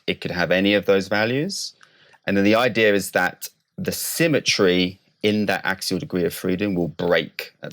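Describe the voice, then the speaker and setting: A male scientist with a deep voice answers as an interview guest. His delivery is explanatory and measured, building precise definitions one step at a time. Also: a bit bright.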